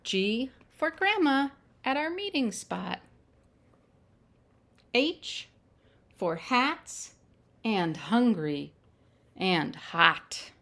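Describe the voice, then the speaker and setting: A woman reading picture books aloud to young children in simple, measured phrases, with little asides to her listeners. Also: a little high, very animated, a bit bright. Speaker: children's storytime reader. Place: at home.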